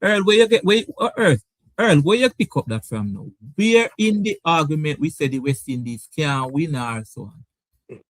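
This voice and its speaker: A man with a Caribbean English accent hosting a sports debate show, animated and repeating his words. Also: a little high, moderate pace, no room sound, poor audio. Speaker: show host. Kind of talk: lively sports debate talk.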